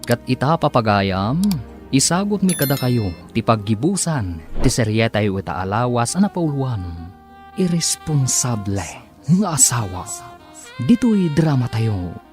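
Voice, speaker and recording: A man's voice: medium pitch, big pitch swings, a little slow, a little mellow. A man announcing the opening of a radio drama in a scripted style. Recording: music bed under the voice.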